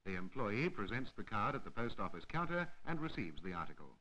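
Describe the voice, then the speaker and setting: Bright-voiced man narrating, lively pitch. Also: brisk, rough recording. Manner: formal, instructional. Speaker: educational film narrator. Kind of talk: 1960s educational film narration, scripted.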